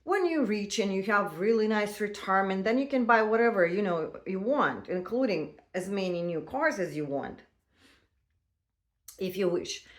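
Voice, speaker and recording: A woman in her fifties telling her own story in a casual, halting way, with many fillers and non-native phrasing. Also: medium pitch. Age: 50s. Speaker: vlogger.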